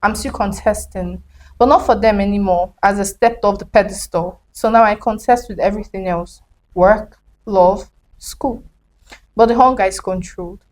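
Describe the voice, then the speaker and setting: Young woman doing slow thoughtful spoken word, mid pitch, reads her own piece then asks questions. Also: rough recording. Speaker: host and writer. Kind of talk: reading her own poetic prose, then interviewing.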